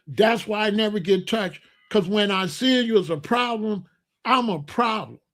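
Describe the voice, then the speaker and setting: Emphatic older man, high voice. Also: moderate pace, a bit bright. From Chicago, USA. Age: 60s. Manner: emphatic, repetitive, blunt street talk. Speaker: commentator. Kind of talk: opinionated monologue.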